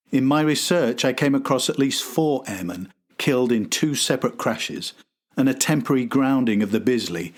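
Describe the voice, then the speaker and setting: A man hosting a podcast, reading a scripted historical documentary narration. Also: medium pitch.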